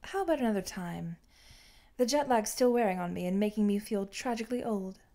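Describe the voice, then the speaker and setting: A woman's voice: mid-range voice, lively intonation, brisk, warm tone, lightly acted. A woman voicing a guarded, world-weary man with a dry, detached manner in an audiobook. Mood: apathetic.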